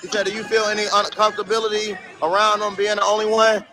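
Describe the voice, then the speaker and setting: A man's voice: high-pitched, level tone, fast, sharp tone, faint music behind. A man chatting loosely on a livestream, reading chat messages aloud in slangy talk. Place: abroad on a trip.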